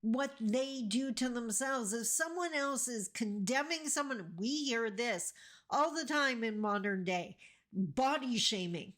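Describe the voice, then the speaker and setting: A middle-aged woman from Northern Ontario speaks to her viewers in a slightly raised voice at an unhurried pace. She is still recovering from a cold. She talks to them warmly and directly, with plenty of enthusiasm. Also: on the high side, animated. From Northern Ontario, Canada. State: getting over a cold. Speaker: vlogger, host of her channel. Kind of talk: casual direct-to-camera talk.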